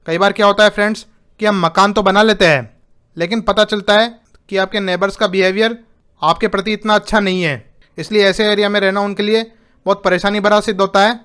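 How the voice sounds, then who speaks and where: A man with a high, crisp voice gives practical advice on a home-building channel despite a sore throat. He explains steadily and instructively, calling his viewers friends. The tone stays bright and clear, with some background noise behind him. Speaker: YouTube host giving home-building tips.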